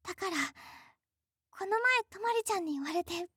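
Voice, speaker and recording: A woman playing a timid, devoted teenage girl in a voice drama, speaking softly and earnestly. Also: extremely high pitch, quick pace, dry recording, studio quality. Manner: soft and earnest, pleading. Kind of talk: voice drama acting.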